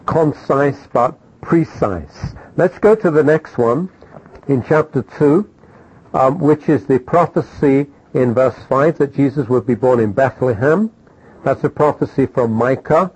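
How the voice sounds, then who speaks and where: A man's voice: mid-range voice, a little slow, darkish tone, breathy edge, low-quality recording. A man teaching a Bible class in an emphatic way, often asking his listeners questions.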